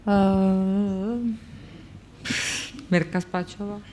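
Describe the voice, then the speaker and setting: A woman's voice: mid-range voice, level tone, very slow, warm tone. A woman moderating a press conference with brief remarks to call on questioners. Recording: noisy room with clicks.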